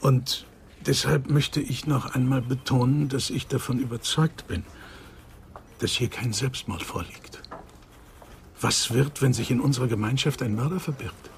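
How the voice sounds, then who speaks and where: Elderly man, psychoanalyst, earnest, reflective, emphatic, radio crime drama, medium pitch, some background noise. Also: fairly even intonation, unhurried, gravelly. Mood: earnest, urgent. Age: older.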